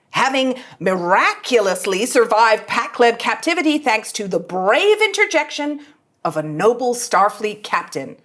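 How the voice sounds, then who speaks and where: A woman acts out a cocky young female ensign in a sci-fi drama reading. Her voice is fairly high and swings widely in pitch. She is theatrical, teasing and sardonic, always persuading. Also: a bit bright, full character voice. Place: studio.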